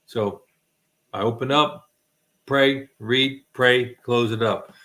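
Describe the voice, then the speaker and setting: A man's voice: medium pitch, fairly even intonation, slow pace, bright, forceful tone. Rambling middle-aged man, self-mocking. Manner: rambling, many restarts, self-deprecating jokes. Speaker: host. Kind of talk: casual chat.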